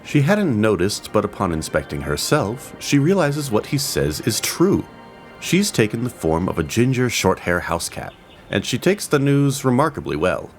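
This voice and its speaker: A man narrating a fantasy audio drama, reading with expressive, dramatic pacing. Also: lowish voice, normal speed, faint music behind. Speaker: storyteller.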